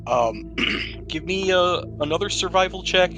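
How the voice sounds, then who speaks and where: A man's voice: high-pitched, expressive, unhurried. Easygoing male game master over music. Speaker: podcast host and game master. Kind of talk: narrating a tabletop roleplaying game to players. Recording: music bed behind the voice. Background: background music.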